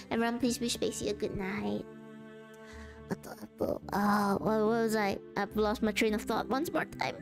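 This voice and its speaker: A woman livestreaming relaxed crafting chat, rambling, losing her thread and laughing often. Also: on the high side. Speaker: streamer.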